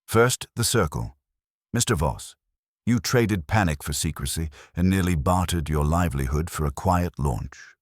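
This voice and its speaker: A man's voice: low voice, animated, measured pace, no room sound, clean studio sound. A man voicing an imperious, cerebral detective in an audiobook, pompous, formal and commanding as he passes cold judgement. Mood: stern, judging.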